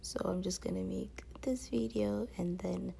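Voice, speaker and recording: A woman's voice: medium pitch, steady tone, unhurried, creaky, warm tone, a little hiss or hum. A woman vlogger chatting casually to her viewers in a rambling, slangy way. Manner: rambling, peppered with slang and filler phrases.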